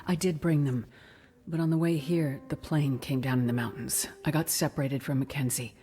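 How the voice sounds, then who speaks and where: Low-voiced, composed, determined woman. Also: fairly even intonation, moderate pace, warm tone. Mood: reassuring. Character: composed, determined woman searching for a missing doctor. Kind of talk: cinematic video game drama acting.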